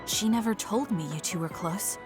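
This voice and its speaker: A woman's voice: mid-range voice, normal speed, over music, lightly acted. A woman playing a reserved, serious young soldier in an English game dub, speaking in a terse, restrained way. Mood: cool, questioning.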